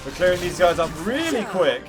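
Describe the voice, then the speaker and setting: Lively woman giving casual game commentary. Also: fairly low pitch, a little fast. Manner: wry, reactive, informal. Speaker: gaming streamer. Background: game music.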